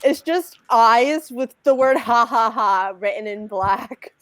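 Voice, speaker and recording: High-pitched woman chatting casually. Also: takes it slowly, fairly bright tone, a little hiss or hum. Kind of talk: casual chat.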